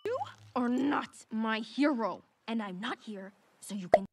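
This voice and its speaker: A woman's voice: on the high side, a little slow. An actress playing a headstrong young island girl who talks defiantly.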